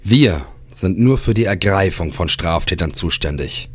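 An actor playing a grim police investigator who narrates his case in a crime audio drama, grave and measured. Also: low-pitched, expressive, husky, dry recording, heavily acted.

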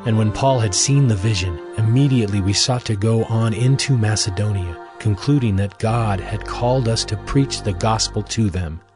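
A man narrating scripture as a scripted audio drama, in a measured, formal manner. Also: fairly low pitch. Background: music.

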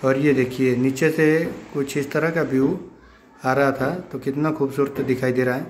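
A man narrates a village walk in a casual vlog style. His pitch is middling and stays very even as he points things out to his viewers like friends. There is some noise in the amateur recording. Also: moderate pace. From Himachal Pradesh hills, northern India. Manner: repetitive show-and-tell, addressing viewers as friends. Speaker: village vlogger.

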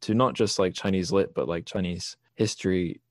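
A man's voice: deep voice, fairly even intonation, moderate pace, no room sound. A young man hosting a podcast, chatting casually in a rambling, self-questioning, self-deprecating way. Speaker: podcast host. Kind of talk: casual conversational interview.

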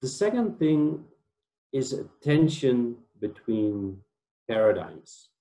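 A man's voice: medium pitch, big pitch swings, slow, dark tone. A middle-aged man from the Netherlands with a Dutch accent, presenting slides in a webinar over a video call and explaining ideas with frequent "right?" tag questions. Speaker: webinar speaker. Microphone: video call webcam.

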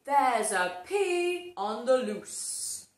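A brave little potato superhero from a picture book, calling out with heroic command, as a woman acts him out. The lines come slowly and boldly, with a lively lift in the voice, and ring in an echoey room. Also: on the high side, heavily acted. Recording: roomy echo.

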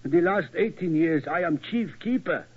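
An older German castle keeper, anxious and deferential, with halting German-accented English. The voice is fairly high and husky. It comes through a narrow, phone-like old radio drama recording. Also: male voice, expressive. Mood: worried, pleading. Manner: halting, inverted foreign syntax. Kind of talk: 1940s radio acting.

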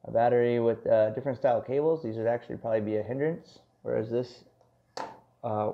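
A man's voice: medium pitch, mellow, highs cut off. A man giving a casual hands-on product review indoors at home, explaining in a conversational way with many asides.

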